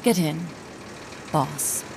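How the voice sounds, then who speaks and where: An actress playing an earnest, gentle woman scientist in a video game, speaking with sincerity. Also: sits low, extremely slow, vocal fry, background noise, heavily acted. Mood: tender, earnest. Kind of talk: dramatic video game cutscene acting. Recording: loud music under the voice.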